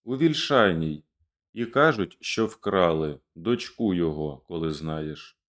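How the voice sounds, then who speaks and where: A man's voice: sits low, expressive, unhurried. A man voicing a fierce, commanding male Cossack rebel leader in a declaimed verse reading. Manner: rousing, declamatory.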